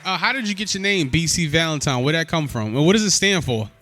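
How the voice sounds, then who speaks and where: Upbeat male podcast host, bright voice. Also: on the high side, animated. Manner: upbeat, quick affirmations like "word" and "yeah". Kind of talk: casual podcast interview.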